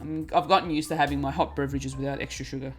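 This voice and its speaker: Low-voiced giggly woman chatting on stream. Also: fairly even intonation, racing. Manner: chatty, giggly, repeats and restarts words. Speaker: craft streamer. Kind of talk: casual chat while crafting, reading chat. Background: faint lo-fi music.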